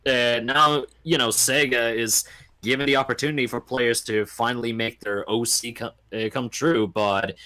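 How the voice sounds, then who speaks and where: A man chatting casually and giving animated, rambling, opinionated takes with mimicked quotes. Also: medium pitch, moderate pace, poor audio. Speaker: podcast guest or panelist. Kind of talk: casual opinionated chat about video games.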